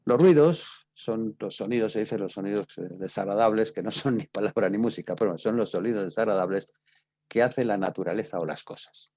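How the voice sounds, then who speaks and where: Man, Spain accent, lowish pitch, dry sound, informal lecturer, didactic, repeats and stresses key words. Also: a little fast, dark tone, no echo. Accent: Spanish from Spain. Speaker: university lecturer in radio drama.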